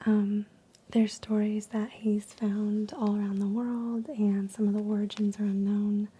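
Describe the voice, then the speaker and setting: A woman reading stories in a soft unvoiced ASMR whisper, slow and hushed with frequent ums, while losing her voice. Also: mid-range voice, little pitch movement, slightly rough. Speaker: ASMR creator.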